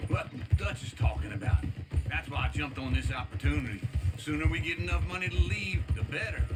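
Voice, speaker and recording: Game role: male actor as jovial old Southern drinker and idler, high voice, swooping delivery, music loud behind. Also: a little fast, close to an everyday voice. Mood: wistful, jovial. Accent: American Southern. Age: older. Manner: folksy, rambling, joking. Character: boastful, idle old outlaw, jovial drinker. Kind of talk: video game acting. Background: music, horse hooves.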